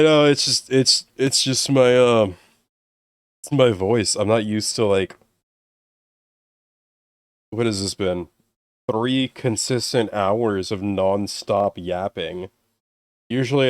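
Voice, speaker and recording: A young man with a deeper voice chatting casually with viewers as he ends a live stream, sounding tired and strained, relaxed and rambling. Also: no echo, clean studio sound. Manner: relaxed, rambling, self-deprecating.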